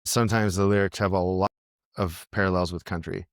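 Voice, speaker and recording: A low-pitched man talks about music in a loose, unhurried podcast chat. He circles his point, restarting sentences as he thinks. The sound is dry and close. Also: steady tone, no echo. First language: English. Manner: rambling, thinks aloud with many restarts. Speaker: musician guest. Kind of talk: casual podcast conversation.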